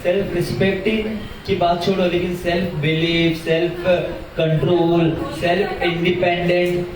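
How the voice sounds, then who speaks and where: A young man with a high voice gives a lively religious talk to an audience. He asks questions and repeats his key phrases for emphasis. He sounds far from the mic, and his voice rings through a very echoey hall. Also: a little slow, background noise. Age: young adult. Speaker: religious teacher. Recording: very echoey hall, heard from afar.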